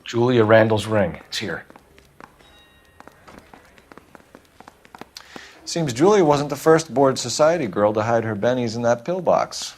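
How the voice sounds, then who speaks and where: An actor playing an earnest, brisk American police detective in a 1940s crime video game, formal and clipped. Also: male voice, medium pitch, lively intonation, close to an everyday voice. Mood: formal, probing.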